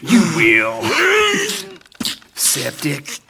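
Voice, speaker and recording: An actor playing a sly, menacing older outlaw gang leader in a video game, with a gravelly, theatrical drawl. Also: male voice, mid-range voice, big pitch swings, drawn-out words, heavy background noise, exaggerated voice. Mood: taunting menace. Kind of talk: video game cutscene acting.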